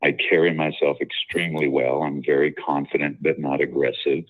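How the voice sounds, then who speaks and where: Deep-voiced male caller, husky and confident, heard over a thin phone line. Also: steady tone, moderate pace. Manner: articulate, self-assured, rambling with fillers. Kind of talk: casual call-in chat.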